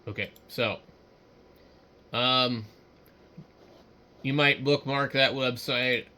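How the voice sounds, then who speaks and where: A man giving casual, unscripted commentary while working at a computer, speaking haltingly and trailing off as he thinks aloud. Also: mid-range voice, fairly even intonation, bright, forceful tone. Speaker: hobbyist host.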